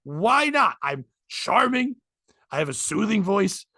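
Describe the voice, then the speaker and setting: A man in his forties with a high, gravelly and bright voice. He chats candidly and rambles as a podcast host, swearing freely. The sound is dry and close. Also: sing-song, slow pace, dry recording. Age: 40s. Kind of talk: casual chat.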